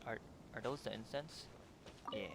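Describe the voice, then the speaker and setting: A man's voice: mid-range voice, moderate pace, gravelly, heavy background noise. A man doing casual playthrough commentary as a game streamer, musing aloud in short reactions and questions. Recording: loud game music under the voice. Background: video game music.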